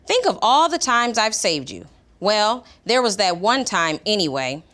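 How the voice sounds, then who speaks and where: A woman's voice: mid-range voice, big pitch swings, unhurried, fairly bright tone, pitched above the performer's own voice. A woman reading a storybook aloud as a needy, indignant dog, in a dramatic, complaining manner. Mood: indignant pleading. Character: indignant, needy pet dog writing a pleading letter. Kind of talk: expressive storybook read-aloud.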